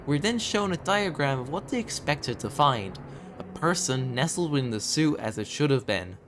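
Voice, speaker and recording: A man reading scripted commentary in a video essay, with measured storytelling broken by conversational asides. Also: fairly high pitch, lively intonation, background noise. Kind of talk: scripted narration, horror series commentary. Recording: background music under voice. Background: background music.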